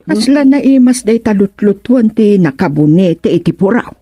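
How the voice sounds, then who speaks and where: Husky, inquisitive wife played by a woman. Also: medium pitch, animated, moderate pace, no room sound, lo-fi sound. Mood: curious, coaxing. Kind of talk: Ilocano radio comedy drama acting.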